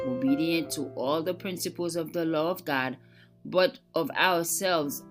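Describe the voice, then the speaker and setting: A woman giving a scripted devotional reading in a measured, solemn way with careful phrasing. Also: sits low. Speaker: devotional reader. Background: soft plucked guitar music.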